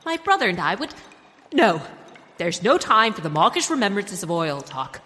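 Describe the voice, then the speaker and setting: A woman's voice: mid-range voice, sing-song, normal speed, sharp tone. A woman playing a bossy, grandiose pirate gang leader in a comic audio drama, pompous and commanding. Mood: scornful, lecturing. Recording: echoey reverberant sewer effect, faint noise.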